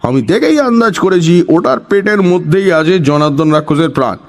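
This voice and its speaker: Man acting an animated, eccentric, bossy know-it-all. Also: high voice, moderate pace. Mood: urgent, conspiratorial. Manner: scolding, conspiratorial, dramatically emphatic. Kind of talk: Bengali audio story acting.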